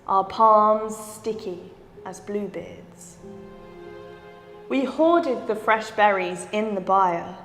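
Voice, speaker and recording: A woman recites poetry at an extremely slow, deliberate pace. Each phrase is set apart and hangs in a reverberant, echoing hall. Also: medium pitch. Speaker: poetry reader. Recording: echoey hall with long reverb.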